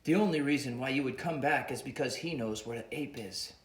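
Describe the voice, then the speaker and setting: A thick-accented, suspicious jungle businessman, as a man reads him out, urgent and pitched up. He guards his living. The storybook reading is heard in an echoey room. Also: a little fast, close to an everyday voice.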